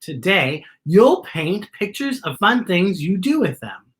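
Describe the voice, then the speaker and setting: A woman's voice: lowish voice, very animated, slow pace. A woman voicing a cheerful, patient female schoolteacher talking to small children in a storybook. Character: cheerful adult female schoolteacher, kindly but mistaken.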